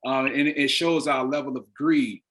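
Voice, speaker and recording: A man speaking as an interview guest, explaining his ideas earnestly and deliberately, leaning on key words. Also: medium pitch, fairly even intonation, a bit bright. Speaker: interview guest, playwright and actor.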